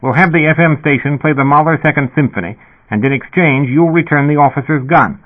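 A man's voice: a little high, fairly quick, pitched above the performer's own voice, natural voice. A fussy, sputtering male police dispatcher barks through an intercom in a raised, pinched voice, as a man reads him. The whole thing sounds thin and phone-like. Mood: exasperated. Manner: sputtering, exasperated.

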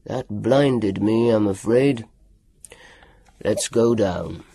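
A reader doing a gruff, growling old doctor. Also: mid-range voice, drawn-out words, warm tone, no room sound, studio quality, voice pushed down, exaggerated voice. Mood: curt. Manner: growling, curt. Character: gruff older male doctor, stern and curt.

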